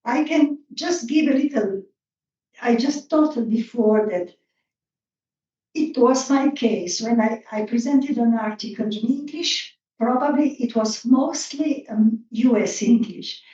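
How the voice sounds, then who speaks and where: Echoey, distant woman moderating, slow and mellow. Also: a little high. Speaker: symposium moderator. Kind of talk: informal moderating of open discussion. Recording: very echoey, distant, slightly dull microphone.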